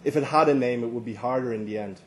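A man's voice: mid-range voice, quick pace. A man with an Irish accent reading a first-person story at a live literary event. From Ireland. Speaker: story reader. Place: live reading at a London venue. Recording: live event recording, faint background noise.

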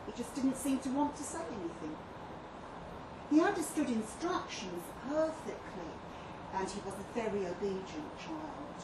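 Earnest, precise schoolmistress as a woman reads her, higher voice, measured delivery, echoing room. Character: earnest, well-spoken female primary school teacher. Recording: distant echoey live venue, noisy room.

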